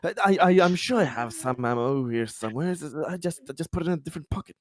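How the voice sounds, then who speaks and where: A man talking casually as a player in a tabletop game, halting and repeating his words. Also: fairly high pitch, expressive, no room sound, studio quality.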